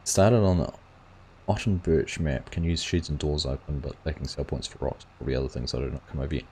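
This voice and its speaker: A man's voice: rumbling low, a little fast, dark tone, husky. A man hosting a gaming livestream, chatting casually and reading viewers' comments aloud. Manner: rambling, reads chat aloud and answers viewers.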